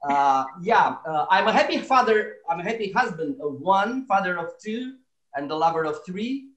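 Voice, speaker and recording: A middle-aged man from Romania with a Romanian accent, doing deadpan stand-up comedy, chuckling at his own jokes, recorded on a webcam in a small room at home. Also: sits high, normal speed, muffled recording. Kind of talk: deadpan stand-up comedy and comic song at piano. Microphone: webcam at desk distance. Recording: roomy echo, piano behind.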